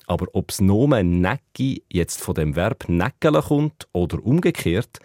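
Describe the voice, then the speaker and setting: Man, Zurich Swiss German accent, dialect expert, measured explaining, low voice, dry studio. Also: sing-song, darkish tone, no echo. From Zurich, Switzerland. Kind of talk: explanatory radio segment.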